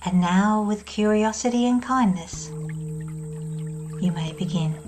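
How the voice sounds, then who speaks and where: A woman guiding a meditation in a soft, soothing voice, speaking in calm, unhurried phrases. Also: mid-range voice, music in the background. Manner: soft, slow and soothing, with long pauses. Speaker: meditation guide. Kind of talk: guided meditation.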